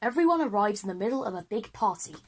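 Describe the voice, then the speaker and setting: A woman's voice: mid-range voice, expressive, fast. A woman narrating an audio drama for children, reading out scene headings and action. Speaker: narrator and performer of all parts.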